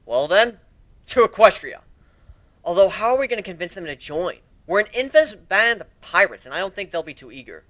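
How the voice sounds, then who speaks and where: Guy reading as cocky griffin pirate captain, cheeky, kinda high, voice jumps all over. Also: sing-song, a little fast, a bit bright. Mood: brash, surprised. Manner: cheeky, conversational, exclamatory. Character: brash, excitable griffin pirate leader, cheeky and informal. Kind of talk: storybook character reading.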